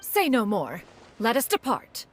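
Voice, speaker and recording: A woman's voice: fairly high pitch, wildly swooping pitch, unhurried, a little hiss or hum. A woman playing a proud, dignified mentor in an anime game, speaking in a formal, stately way. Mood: indignant. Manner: formal, stately, archaic phrasing. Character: dignified, proud immortal woman mentor, haughty yet caring.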